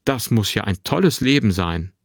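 A man's voice: lowish voice, animated, moderate pace, breathy edge, dry recording. A man voicing a friendly, easygoing and slightly bemused grown man on holiday, in dialogue from a narrated story. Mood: interested.

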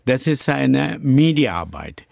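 An older pastor from India speaks in deliberate, hesitant German full of pauses and fillers, his clear. His voice carries a gravelly edge and a dry, close sound. He talks very slowly. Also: mid-range voice, very animated, darkish tone, dry recording. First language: Tamil. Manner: halting, many fillers, non-native German. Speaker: pastor and church founder. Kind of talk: interview answers.

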